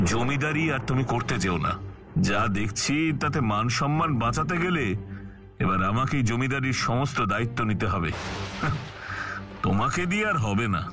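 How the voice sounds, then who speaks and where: A man's voice: medium pitch, close to an everyday voice. A man voicing a landlord's scornful, scheming younger brother in a cartoon, accusing and sneering. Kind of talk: cartoon voice acting in Bengali.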